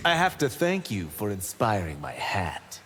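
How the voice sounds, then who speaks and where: An actor playing a cocky, brash young martial-arts monk trading boastful, teasing banter in a video game. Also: male voice, fairly low pitch, huge pitch swings, measured pace. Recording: reverberant game audio with background noise.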